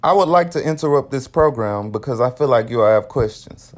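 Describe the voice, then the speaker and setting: A young man cutting in with a deadpan, mock-formal announcer style. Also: mid-range voice, a little fast.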